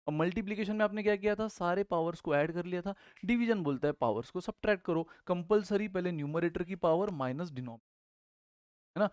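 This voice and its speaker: Hoarse, high-pitched Indian math teacher. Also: male voice, lively intonation, brisk, a little mellow, dry recording, studio quality. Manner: addresses students as guys, asks and answers his own questions. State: sore throat. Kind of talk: online exam-prep math lesson.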